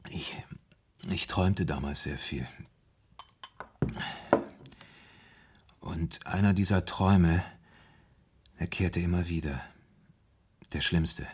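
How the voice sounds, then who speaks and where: An actor in a 1970s German radio play, playing a troubled, brooding man confessing his fear of madness in halting, dramatic storytelling. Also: sits low, husky, full character voice. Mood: brooding recollection.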